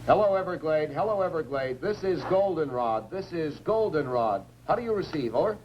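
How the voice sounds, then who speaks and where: A man's voice: fairly high pitch, expressive, normal speed, lo-fi sound, lightly acted. A man acting a practical, frustrated radio operator in a 1950s science fiction film. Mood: calling out. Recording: noisy old film soundtrack.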